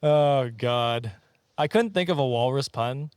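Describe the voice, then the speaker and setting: A man's voice: medium pitch, measured pace, clear, resonant tone, no room sound. A man hosting a casual comedy podcast, telling trivia and bantering in a jokey, chuckly way. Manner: jokey, often chuckling as he talks. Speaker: podcast host.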